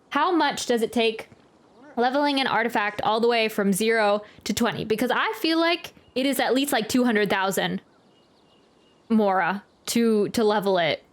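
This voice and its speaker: A woman giving casual, chatty reaction commentary as a game streamer, with rambling, jokey asides. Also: a little high.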